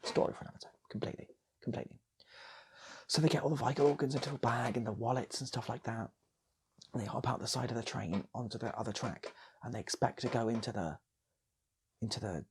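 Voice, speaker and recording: A man improvising a comic story in a soft, breathy ASMR style, often repeating phrases and slipping into whispers. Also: mid-range voice, fast, long pauses, slight background noise. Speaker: storyteller, channel host.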